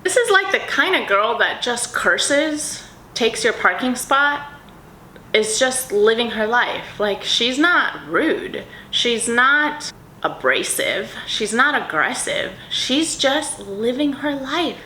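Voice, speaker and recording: Perfume vlogger, woman, bright chatty voice, echoey room with faint background noise. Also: fairly high pitch, very animated, slow. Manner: bubbly, rambling, dreamy descriptions with many fillers. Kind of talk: casual vlog chat.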